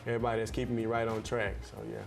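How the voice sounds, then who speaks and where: A man's voice: on the low side, flat delivery, rapid-fire, creaky. A young man in his late teens, a basketball player, giving casual answers in an interview. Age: teens, about 17. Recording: faint ambient background noise.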